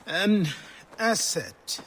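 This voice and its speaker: An actor playing a weary, guarded old mentor in a video game, with a measured, understated, authoritative delivery. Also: male voice, high voice, expressive, a bit bright, a little hiss or hum, exaggerated voice. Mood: dry, guarded. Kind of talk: video game cutscene acting. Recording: faint music behind the voice. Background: music.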